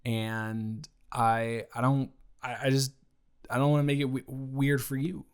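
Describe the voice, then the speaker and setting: A man acts out a young male roommate in an intimate improvised audio drama. He is jokey but nervous, talking in a natural mid-pitched voice with hesitant stammers and rambling half-finished sentences. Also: little pitch movement, a little slow, clear, resonant tone, lightly acted. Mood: nervous, unsure. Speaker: roommate character in audio roleplay.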